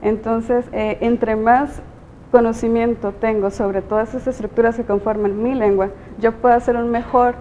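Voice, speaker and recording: A woman's voice: fairly high pitch, steady tone, moderate pace, warm tone, muffled recording, slight background noise. A woman teacher with a Mexican Spanish accent, answering interview questions in a thoughtful, explanatory way with frequent hesitations. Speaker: teacher and guest.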